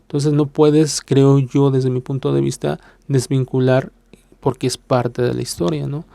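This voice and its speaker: A man's voice: medium pitch, flat delivery, unhurried, vocal fry, warm tone, no room sound. A Mexican man with a Mexican accent, hosting a casual reaction video, talking hesitantly with many fillers and playing down his own opinion. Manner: hesitant, lots of filler sounds and restarts; self-deprecating, hedges his opinions.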